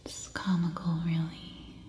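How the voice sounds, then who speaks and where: A woman playing an unhinged, coaxing cannibal survivor in an ASMR roleplay, in a soft breathy whisper that soothes and menaces. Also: on the low side, completely flat delivery, a little slow, lightly acted. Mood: eerily calm.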